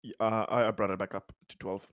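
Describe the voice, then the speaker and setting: A man's voice: lowish voice, little pitch movement, fast talker, dry recording, studio quality. A man chatting casually and jokingly as a player in a tabletop role-playing game.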